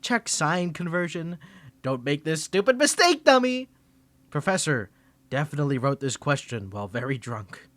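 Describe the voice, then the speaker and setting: A man's voice: a little high, sing-song, moderate pace, a bit bright, dry recording. A man voicing a flustered young tsundere male student rival in an ASMR roleplay, teasing and defensive, stammering when caught off guard. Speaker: ASMR creator.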